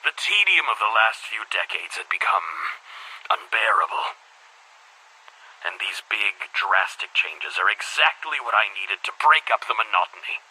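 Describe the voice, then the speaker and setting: An actor playing a weary, embittered middle-aged school headmaster in a video game monologue, speaking in a formal, measured, brooding way with a husky voice. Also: male voice, very animated, bright, forceful tone, exaggerated voice, low-quality recording. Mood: cautiously hopeful. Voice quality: husky, breathy low voice.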